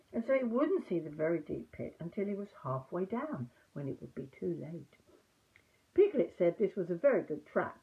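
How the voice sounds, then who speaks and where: An older woman narrates a children's storybook in an expressive storyteller's style. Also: medium pitch. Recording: muffled, narrow phone-like audio.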